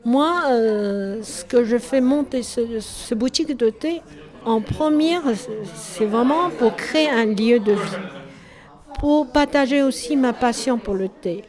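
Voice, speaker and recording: Slow-speaking Chinese woman, halting French. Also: a little high, a little mellow. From China. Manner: halting, searching for words, self-correcting. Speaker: tea shop owner. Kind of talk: interview answers.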